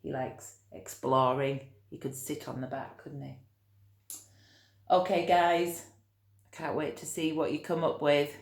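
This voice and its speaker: A woman with a low voice tells a children's story as a teacher would. Her pace is unhurried and her intonation lively, with questions put directly to the listeners. The sound is roomy and echoey. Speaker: teacher reading a storybook to pupils. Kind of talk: animated children's storytelling with friendly asides.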